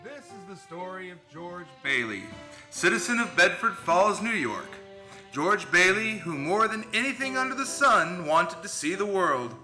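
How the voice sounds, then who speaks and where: Male radio-play narrator, theatrical vintage storytelling, high and forceful with lively intonation. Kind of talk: dramatic scripted narration, vintage radio style.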